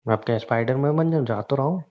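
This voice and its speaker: A man's voice: medium pitch, fast talker, natural voice. A man playing a childish, clingy husband who whines, teases and cajoles his wife in a naturalistic audio drama. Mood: whiny. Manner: whiny, cajoling, playfully stubborn. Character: childish, clingy, teasing husband wanting pampering. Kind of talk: naturalistic Hindi audio drama of couple banter. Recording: dull lo-fi audio.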